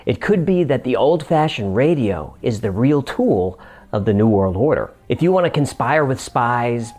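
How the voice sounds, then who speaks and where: A man's voice: medium pitch, lively intonation, normal speed, a little mellow. A man narrating a scripted explainer as host, with a wry manner and dry asides.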